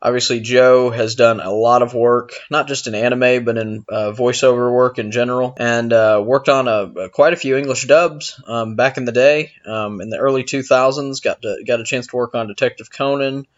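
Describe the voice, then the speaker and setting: A man's voice: medium pitch, steady tone, moderate pace, hardly any pauses. Man hosting a podcast, dull muffled audio. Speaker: podcast host and interviewer. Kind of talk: casual podcast intro and interview talk.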